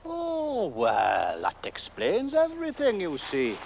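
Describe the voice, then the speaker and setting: An excitable British village constable in a film comedy. His voice runs high and swoops about as he rambles, on a muffled old soundtrack. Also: slow pace, vocal fry, a little hiss or hum, heavily acted. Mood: excited, rambling. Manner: rambling, folksy, animated. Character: excitable, gossipy, deferential village police constable. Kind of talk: 1970s horror comedy film acting.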